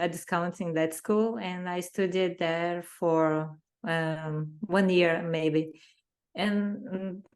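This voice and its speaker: Brazilian woman, native Portuguese speaker, unhurried, lower register; measured interview answers in English. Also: a little mellow. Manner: careful, searching for words in a second language. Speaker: interview guest.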